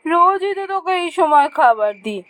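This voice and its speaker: A woman voicing a busy, impatient mother in a cartoon, nagging briskly at her grown daughter. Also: very high voice, animated, a bit bright. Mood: impatient, scolding. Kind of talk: cartoon voice acting.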